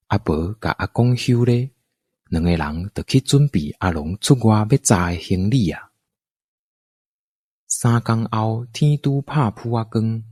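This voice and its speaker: A man narrates a scripted adventure tale with a Taiwanese accent. His voice is fairly low and husky, recorded dry and close. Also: darkish tone, dry recording.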